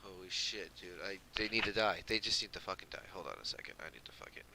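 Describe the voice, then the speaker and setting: A man's voice: low voice, fast. A man doing casual live game commentary as a streamer, slangy and profane, with a grumbling, sardonic manner. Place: room at home with an open window. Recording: clearly noisy room recording. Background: background noise.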